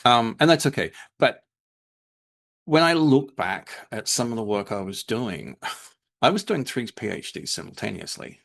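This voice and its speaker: A man's voice: mid-range voice, very animated, measured pace, vocal fry, a bit bright. A man with a blend of North American and English accent hosts a podcast conversation. He rambles and stumbles over repeated words as he works out his thoughts. His voice sits in the middle of the male range. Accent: mixed North American and English. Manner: rambling, repeats words when searching for them. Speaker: podcast host. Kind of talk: casual conversation.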